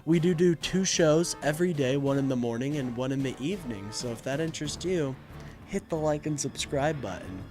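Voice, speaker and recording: A man with a fairly high voice hosts a live call-in debate stream over background music. He talks casually and slangily, jokes with his chat and swears freely. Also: expressive, a little fast.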